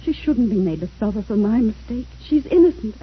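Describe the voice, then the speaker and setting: A woman's voice: on the high side, expressive, fairly quick, slightly rough. An actress playing an ailing, remorseful mother, pleading desperately in an old-time radio melodrama. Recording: muffled, noisy old radio recording.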